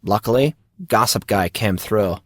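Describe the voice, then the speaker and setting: A man's voice: fairly low pitch, measured pace, dry recording, clean studio sound. A man voicing a sheepish teenage schoolboy who talks in a slangy, defensive way.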